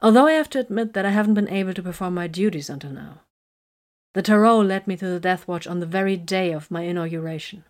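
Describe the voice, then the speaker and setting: A woman's voice: on the low side, fast, lightly acted. Smug amused female commander, sweet sarcasm; a woman narrator voices her.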